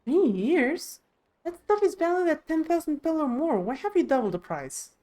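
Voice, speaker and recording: A woman's voice: sits high, big pitch swings, moderate pace, dark tone, pitched above the performer's own voice. A woman voicing an earnest young female claimant to a throne in a fantasy game, plain-spoken and determined. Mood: indignant.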